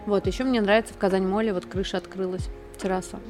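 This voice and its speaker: Female podcast guest, mid-pitched, casual conversational delivery, music clearly audible behind her. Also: fairly even intonation, fast. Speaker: podcast guest, city guide blogger.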